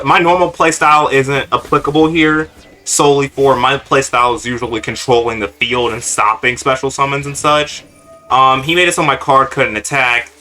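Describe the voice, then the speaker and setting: A man's voice: medium pitch, bright, forceful tone. A young man hosting his own gaming channel, giving casual, rambling commentary over his card-game matches with lots of fillers and self-deprecating asides.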